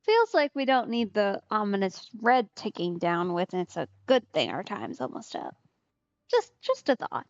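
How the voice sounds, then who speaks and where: A woman's voice: medium pitch, very animated, moderate pace, dry recording. A woman doing casual let's play commentary with wry, understated asides, on a close mic with slightly dull sound. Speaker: streamer, let's player.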